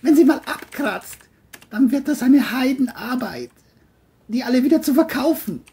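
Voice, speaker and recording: High-pitched, irritable young man. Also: animated, normal speed, mellow. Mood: flippant, grumbling. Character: young man, cash-strapped grandson, irritable and foul-mouthed.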